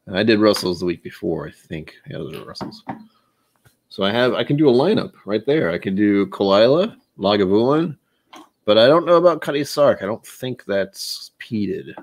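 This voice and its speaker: A man's voice: lowish voice, lively intonation, normal speed, a little hiss or hum. A man in his thirties chatting casually on a home livestream, rambling and self-mocking, sometimes trying out joke accents. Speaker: streamer. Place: home room with shelves of bottles.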